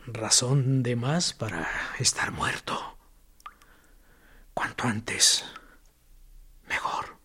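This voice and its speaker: A dry, sardonic dead prisoner in a radio play, questioning in a gravelly half-whisper. His voice has a bright, tense edge and unhurried, deliberate pacing. He probes with calm mockery. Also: mid-range voice, exaggerated voice. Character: dead male prisoner, calm, mocking interrogator. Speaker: radio drama actor. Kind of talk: radio drama acting.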